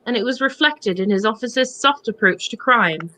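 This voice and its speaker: A woman's voice: a little high, expressive, normal speed, bright, forceful tone. A woman reading on a charity livestream, moving between dramatic book reading and casual asides in a wry, mocking manner.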